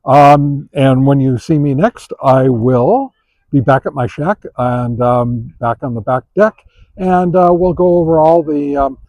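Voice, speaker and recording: A man giving a casual, unscripted product review as host, talking in a halting, conversational way as he thinks aloud. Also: mid-range voice, darkish tone, dry recording, rough recording.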